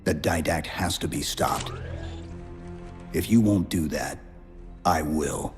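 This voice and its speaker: Cutscene: terse super-soldier, rock-bottom voice, reverb and light score. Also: male voice, extremely low pitch, takes it slowly, soft background music. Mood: resolute. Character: stoic armored male super-soldier, terse and resolute. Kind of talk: video game cutscene acting. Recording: game audio with reverb and score.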